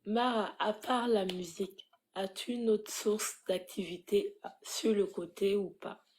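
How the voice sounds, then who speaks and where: Woman co-host, slow delivery, friendly teasing between questions. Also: medium pitch, a little mellow. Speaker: interviewer. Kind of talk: interview questions.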